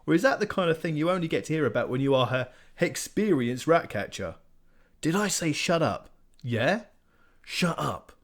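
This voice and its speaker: Reader as a gruff, whiny rat catcher, animated. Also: male voice, a little high, normal speed, voice pushed up. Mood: grumbling. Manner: gruff, snappish. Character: grumbling rat catcher, middle-aged man, surly.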